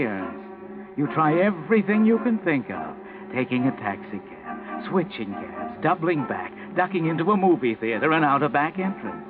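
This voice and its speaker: An actor playing a taunting, urgent narrator in a 1940s radio crime mystery. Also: male voice, medium pitch, natural voice. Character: ominous, taunting male narrator of a crime tale. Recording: tinny 1940s radio recording, music behind.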